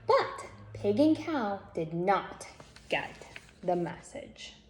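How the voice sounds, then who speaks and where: A woman reading a children's picture book aloud as an expressive storyteller, with deliberate, dramatic phrasing. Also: medium pitch. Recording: echoey room with faint noise.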